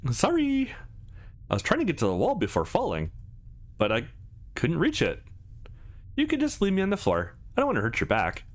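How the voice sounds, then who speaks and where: A man's voice: fairly high pitch, huge pitch swings, normal speed, long pauses, voice pushed up. A man voicing a shy, playful, sweet young woman in a visual novel, hesitant and bashful, with music underneath. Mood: apologetic. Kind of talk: visual novel character read-aloud.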